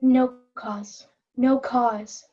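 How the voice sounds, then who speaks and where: A woman's voice: on the high side, steady tone, drawn-out words, a little mellow. A woman playing a tender, grieving princess devoted to her father in a Shakespeare reading, recorded lo-fi. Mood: loving, pleading. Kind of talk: readers' theatre Shakespeare. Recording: dull, lo-fi sound.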